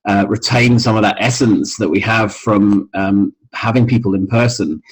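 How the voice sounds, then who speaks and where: A man's voice: lowish voice, fairly even intonation, moderate pace, slightly rough, rough recording. A man from Scotland with a mild, mixed international accent, a charity head talking casually in an interview over a video call, with many ums and restarts. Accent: mixed international, mild Scottish. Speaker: charity CEO, interview guest.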